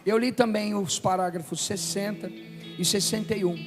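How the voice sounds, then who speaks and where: Male Brazilian preacher, fifties, high-pitched and declamatory, sermon delivery over background music. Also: steady tone. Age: 50s. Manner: emphatic, declamatory, with deliberate pauses. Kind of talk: sermon with emphatic scripture reading and call-and-response. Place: large reverberant room, live preaching event. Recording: long hall reverb, music underneath.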